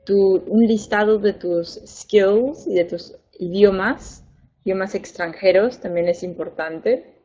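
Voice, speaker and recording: A young woman education advisor, a native Spanish speaker, giving a webinar lesson in a clear, patient way, stressing points by repeating words. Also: medium pitch, slow, mellow. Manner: clear, patient explaining with fillers and repeated emphasis.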